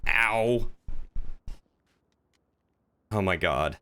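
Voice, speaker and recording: A man's voice: on the low side, lively intonation, very slow, pushed and bright, slight background noise. A man chatting casually in character while streaming a crime roleplay game.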